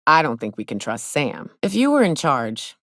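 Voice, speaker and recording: An actress playing a hard-drinking frontier hunter and guide in a video game, blunt and wry, with folksy speech. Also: female voice, low-pitched, very animated, normal speed, a bit bright, dry recording, studio quality. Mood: suspicious, irritated. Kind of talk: video game companion dialogue acting.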